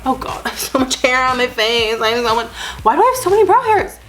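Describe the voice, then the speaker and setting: A woman's voice: high voice, very animated, fast, a bit bright. A woman vlogging at home in a basement, rambling and self-deprecating with lots of "like", a bit sniffly, with faint music behind. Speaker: beauty vlogger. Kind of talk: casual self-deprecating chat to camera. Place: basement room at home, indoors. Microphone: camera on a desk with ring light.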